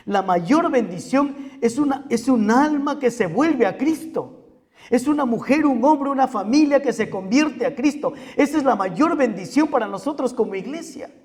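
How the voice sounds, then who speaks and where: Peruvian guy preaching in church, pretty high voice, repeats stuff for emphasis, big reverby hall. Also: a little mellow. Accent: Peruvian Spanish. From Peru. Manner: emphatic repetition and rhetorical questions to the congregation. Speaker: pastor. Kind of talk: sermon.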